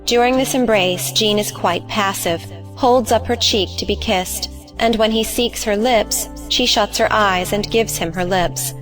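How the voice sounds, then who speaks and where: A woman's voice: mid-range voice, a little slow, a bit bright, soft background music, rough recording. A woman reading stage directions as scripted narration for an audio play.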